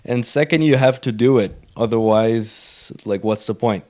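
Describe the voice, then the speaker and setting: A man's voice: mid-range voice, moderate pace, warm tone. A man interviewing casually as a podcast host, agreeing often as he goes. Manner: agreeable, frequent backchannels.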